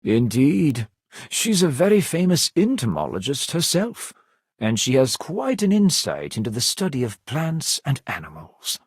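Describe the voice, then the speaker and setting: A courteous, composed servant of a grand house in a game cutscene. His formal delivery moves through lively rises and falls, with a slightly husky edge and a very dry, close sound. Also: mid-range voice, no echo, full character voice. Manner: formal, courteous, measured diction. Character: polite, composed male servant of a grand manor. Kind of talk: scripted video game dialogue.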